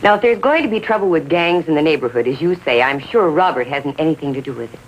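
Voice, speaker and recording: Genteel middle-aged American mother, trusting and defensive. Slightly rough voice, lively melodic delivery, vintage television drama. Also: low-pitched, quick pace, rough recording. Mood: politely defensive. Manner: earnest, insistent, politely defensive. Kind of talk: 1950s TV drama acting.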